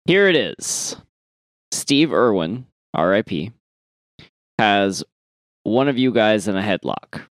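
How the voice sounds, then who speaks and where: A man co-hosting a podcast in casual comic chat, sometimes sweary and halting as he thinks aloud. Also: lowish voice, big pitch swings, no echo. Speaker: podcast co-host. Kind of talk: casual comic chat, reading listener emails.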